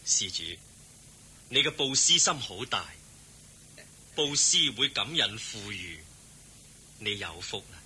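An actor playing a serene, kindly holy teacher in a Hong Kong Cantonese radio drama, speaking in a composed, gently instructive way. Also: male voice, lowish voice, expressive, slow, pauses often, a little hiss or hum, full character voice.

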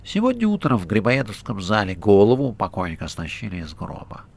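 A man's voice: fairly low pitch, huge pitch swings, a little fast, vocal fry, a little mellow, full character voice. A man voicing a short, brash and cheeky red-haired man with a fang, sly and offhand in an audiobook. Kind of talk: audiobook character reading.

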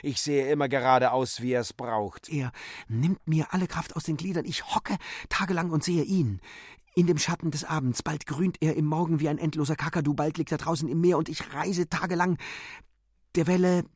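A man's voice: on the high side, moderate pace, no room sound, studio quality, voice pushed up, close to an everyday voice. A man voicing a tormented female circus acrobat in a rapturous, agitated, declaiming manner for a literary radio drama. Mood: feverish, rapturous. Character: feverish, tormented female circus acrobat obsessed with a phantom lover.

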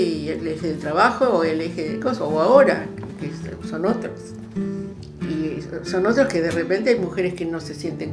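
A woman's voice: fairly low pitch, gravelly. A woman with an Argentine accent talks reflectively in casual conversation, searching for words as she goes. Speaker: participant in recorded conversation. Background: guitar music.

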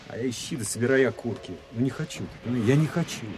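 An actor playing a frustrated, self-doubting young army officer in a radio play, agitated and halting as he complains. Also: mid-range voice, over music, close to an everyday voice. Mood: sulky, fed up. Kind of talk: Soviet radio play acting.

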